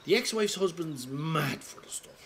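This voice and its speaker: An actor playing an older Irish pensioner, roguish and callous, telling a rambling, sweary, wry anecdote in a casual dramatic monologue. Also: male voice, a little high, lively intonation, normal speed, a little hiss or hum. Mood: wry, grumbling. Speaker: storyteller.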